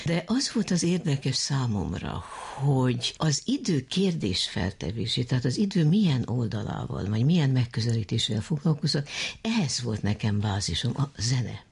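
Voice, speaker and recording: Very husky elderly woman, deep and creaky. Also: darkish tone. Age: 80s. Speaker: sociologist interviewee. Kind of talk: reflective interview talk.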